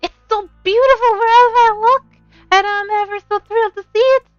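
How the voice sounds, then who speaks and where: A woman voicing a flustered, excitable and polite young heroine in a visual novel, gushing breathlessly. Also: very high-pitched, fairly quick, no room sound, voice pushed up. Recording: dull lo-fi stream audio with game music.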